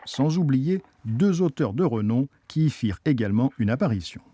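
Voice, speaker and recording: A man's voice: medium pitch, lively intonation, moderate pace, warm tone, husky. A man narrating a documentary from a script.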